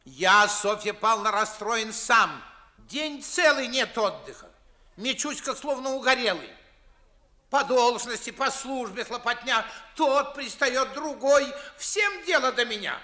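A man's voice: very high voice, lively intonation, unhurried, bright, forceful tone, heavily acted. An older man playing a pompous, grumbling widowed father and official in a 1950s theatrical radio play, scolding in declamatory verse. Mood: aggrieved lecturing.

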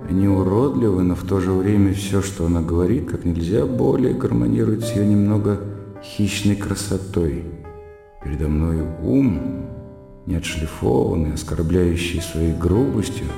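Brooding educated gentleman, low creaky voice, reflective inner monologue, radio play, echoing, plucked music behind. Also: lively intonation, normal speed. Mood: brooding, troubled. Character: brooding, fastidious educated gentleman, troubled by attraction. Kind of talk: Russian radio drama acting. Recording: echoey long reverb with plucked music behind.

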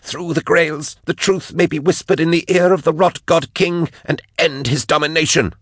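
A man voicing a tormented, devout woman captive in an audiobook, her words strained, halting and unwilling as she is forced to confess. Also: steady tone, dry recording, studio quality, natural voice. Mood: fervent. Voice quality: strained, high-pitched. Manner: tight, unwilling, halting, whining. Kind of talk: dramatised audiobook dialogue.

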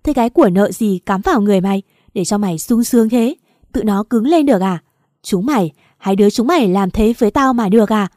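A woman's voice: mid-range voice, lively intonation, fairly quick, no room sound, lightly acted. A woman voicing a betrayed young wife who screams furious accusations at her husband and friend in a dry, close recording. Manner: shouting, raging accusations.

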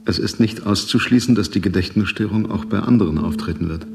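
Low-voiced sober spaceship officer. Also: male voice, gravelly. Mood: grave, analytical. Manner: measured, matter-of-fact. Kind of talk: German radio drama acting.

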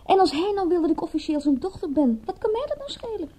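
Very mellow, flippant young woman. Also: very high-pitched, sing-song, quick pace. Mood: flippant, defensive. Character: flippant, defensive young woman, adopted at twenty-one. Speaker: actress in a radio play. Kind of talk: radio drama acting.

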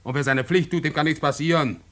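An actor playing a suspicious, dogmatic pub landlord in a German radio drama, preachy and self-righteous. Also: male voice, fairly high pitch, fast, natural voice.

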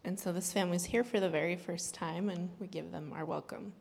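A woman's voice: fairly low pitch, fairly even intonation, moderate pace, creaky, darkish tone. A woman interpreting a sermon into English, relaying the pastor's words steadily. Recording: hall reverb.

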